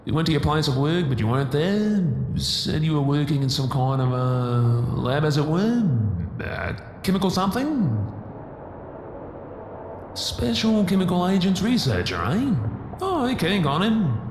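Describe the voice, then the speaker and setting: A man acts out a greedy, sleazy landlord for an audio roleplay. His slangy, wheedling voice sits on the high side and leaps around in pitch. It rings in an echoing hallway with a faint layer of noise. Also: sing-song, unhurried. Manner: slangy, oily, hectoring. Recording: echoey hall with faint hiss.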